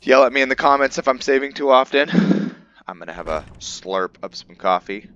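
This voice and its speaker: A man's voice: mid-range voice, lively intonation, moderate pace, a bit bright, dull audio. A man giving casual gameplay commentary as a let's player, thinking aloud in short bursts.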